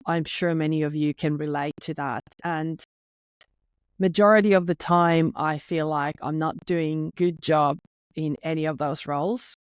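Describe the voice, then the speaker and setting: A woman's voice: low voice, fairly even intonation, unhurried, smooth, no echo, lo-fi sound. A woman in her thirties from Finland with a Finnish accent, hosting her own podcast in an earnest, openly nervous, heartfelt way. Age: 30s. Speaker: podcast host. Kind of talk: solo podcast monologue.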